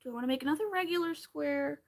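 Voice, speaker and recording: A woman's voice: sits high, fast, gravelly. A woman in her thirties from Pennsylvania, chatting casually and rambling with wry asides while she crochets on a livestream.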